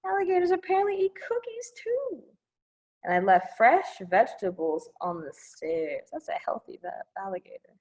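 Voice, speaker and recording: Lively, high-voiced woman reading to children. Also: normal speed, muffled recording. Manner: playful, exclamatory, commenting as she reads. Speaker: children's librarian storytime host. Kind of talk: animated children's read-aloud with casual asides.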